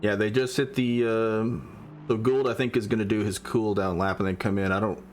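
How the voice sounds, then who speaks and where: A man's voice: fairly low pitch, steady tone, slight background noise. A man in his late thirties giving casual live commentary on an online race, joking with his co-host and speeding up when he calls lap times. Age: 30s. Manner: laid-back banter, quickens when calling lap times.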